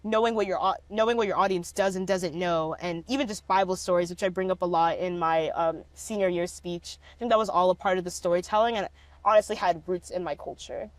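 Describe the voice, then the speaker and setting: A woman's voice: on the low side, fairly even intonation, fairly quick, no echo. A young woman in a casual interview, often laughing and filling her speech with "like". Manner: laughing often, many "like" fillers. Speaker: documentary subject, speech competitor.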